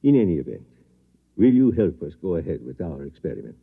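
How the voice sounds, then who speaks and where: A man acting in an old radio drama as a coolly confident scientist doctor, speaking in a formal, deliberate, courteous way. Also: rumbling low, big pitch swings, vocal fry, heavily acted. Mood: cool, determined. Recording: old radio broadcast, dull band-limited sound.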